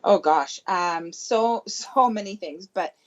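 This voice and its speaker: A woman's voice: on the low side, slow. A Canadian woman, a chiropractor guest, talking cheerfully and laughing easily. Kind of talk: casual interview chat. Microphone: remote call. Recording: dull, lo-fi call audio.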